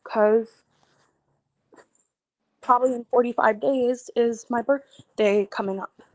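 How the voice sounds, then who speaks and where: A woman in her twenties venting in a personal video, talking in a halting, weary way with many pauses and restarts. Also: a little high, steady tone, a little mellow, no room sound, rough recording. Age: 20s. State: emotionally tired. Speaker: content creator.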